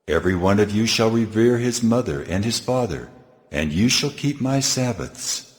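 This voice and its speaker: A man playing God in a dramatized scripture reading, solemn and deliberate as he declares laws. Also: fairly low pitch, expressive, close to an everyday voice. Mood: solemn, commanding. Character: God, solemn commanding male deity giving laws. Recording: long cathedral-like reverb, music underneath.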